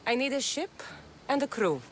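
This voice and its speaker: A woman's voice: sits high, expressive, normal speed, slight background noise. An actress playing a tough, dry, protective young mercenary woman in a video game, speaking in a blunt, wry way. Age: young adult. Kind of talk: video game cutscene acting.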